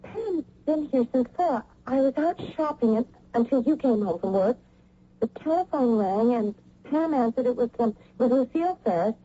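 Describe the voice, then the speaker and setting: A woman's voice: a little high, expressive, normal speed, dark tone. An actress playing an anxious, intuitive American wife and mother in a radio drama, worried and hesitant. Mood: anxious, frightened. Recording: dull old radio recording.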